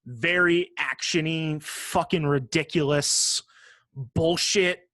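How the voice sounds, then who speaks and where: A man with a slightly high-set voice talks as a podcast host. He is casual and slangy, swears freely and states opinions with emphasis. The sound is dry and close. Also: fairly even intonation, slow pace, bright, forceful tone, no echo. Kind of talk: casual podcast chat about movies and games.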